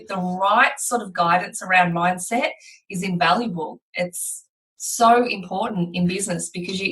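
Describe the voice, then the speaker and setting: Aussie woman chatting on a podcast, lowish but punchy voice, bit off mic, keeps restarting sentences. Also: a bit bright, recorded from a distance, poor audio. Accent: Australian. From Australia. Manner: chatty, searching for words, with frequent restarts. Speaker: podcast host. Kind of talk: casual interview chat.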